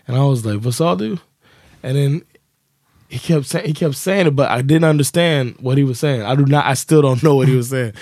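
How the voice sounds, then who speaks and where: A man co-hosting a casual podcast, telling a story in English, animated and laughing, acting out the quotes. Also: medium pitch, fairly quick, no echo, studio quality. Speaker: podcast co-host and comedian.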